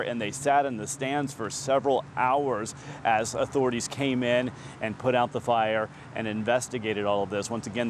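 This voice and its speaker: Male field reporter, American, mid pitch, live outdoor hit with faint traffic behind. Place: outdoors on a street outside a school.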